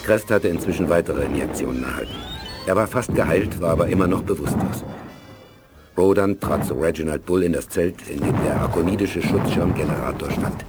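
A man's voice: low voice, a little fast. A man reading scripted narration for a radio play. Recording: music under the voice.

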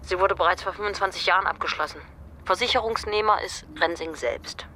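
A woman's voice: mid-range voice, brisk, bright, forceful tone, slight background noise, close to an everyday voice. An actress in a German radio drama playing a brusque, sardonic policewoman who talks in a curt, dry, naturalistic way. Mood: matter-of-fact. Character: brusque, sardonic policewoman, sceptical and quick to bite.

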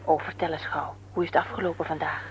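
An actress playing a brisk, chiding wife and mother in a Dutch radio play. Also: low-pitched, flat delivery, close to an everyday voice, poor audio. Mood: curious. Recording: faint hum, old radio play.

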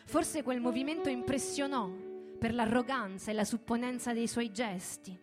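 A woman's voice: fairly high pitch, moderate pace. A woman reading a dramatic scripted narrative in a radio theatre performance. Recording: music playing behind the voice. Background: music.

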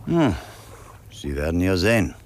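An actor playing a kindly, gruff middle-aged ship's captain in a 1950s German radio drama, musing and hesitant. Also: male voice, low-pitched, very animated, a little slow, a little hiss or hum. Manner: hesitant, musing, repeats himself.

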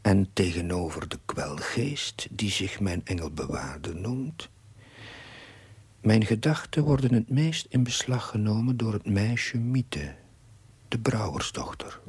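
Husky, low-voiced confessional chaplain. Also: male voice, lively intonation, a little mellow, no echo, full character voice. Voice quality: husky, breathy. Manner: measured, confessional. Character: earnest village chaplain reflecting on his life in diary narration. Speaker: narrating main character. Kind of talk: scripted diary narration in a TV period drama.

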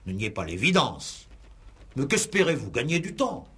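An actor playing an insistent, exasperated examining magistrate in a 1960s French radio police play, pressing and sarcastic in a formal, theatrical way. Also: male voice, mid-range voice, wildly swooping pitch, moderate pace, fairly bright tone, husky, exaggerated voice.

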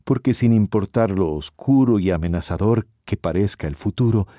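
A man narrating a Costa Rican radio drama in a solemn, measured way. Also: lowish voice, mellow, dry recording, clean studio sound. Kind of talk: scripted narration.

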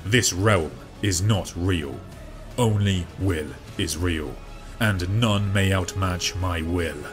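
A man voicing a stern, booming demigod warrior-king. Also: music in the background. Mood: grave warning. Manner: grave, slow, booming delivery. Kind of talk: audiobook character voice.

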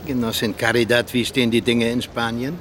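An actor playing a coolly cynical Soviet secret agent in a radio play, brisk, authoritative and conspiratorial. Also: male voice, medium pitch, level tone, a bit bright, a little hiss or hum, close to an everyday voice. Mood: sharp, instructing.

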